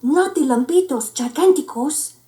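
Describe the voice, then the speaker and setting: A woman plays a plucky little boy cat who fancies himself a chihuahua in a picture book. He talks dramatically in a highish, swooping voice, dropping in bits of Spanish. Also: lively intonation, moderate pace, echoey room, far from the mic, noisy background. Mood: puzzled. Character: brave little male cat posing as chihuahua.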